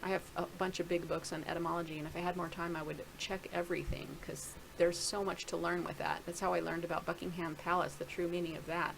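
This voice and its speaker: A woman's voice: fairly low pitch, level tone, quick pace, creaky, few pauses, slight background noise, poor audio. A middle-aged woman casually talking through a screen-share video blog, rambling and thinking aloud with many restarts. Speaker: video blogger.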